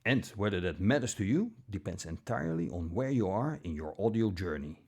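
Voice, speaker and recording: A man reading a scripted product review in a measured, deliberate manner, with short pauses between phrases. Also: deep voice, animated, darkish tone. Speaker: audio gear reviewer.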